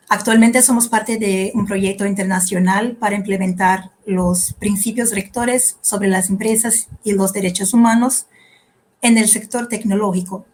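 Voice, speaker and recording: A woman from Brazil, giving a measured, prepared talk as a panelist in a language that is not her one. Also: mid-range voice. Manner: careful, measured delivery in a second foreign language. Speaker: panelist, researcher. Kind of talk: prepared conference presentation.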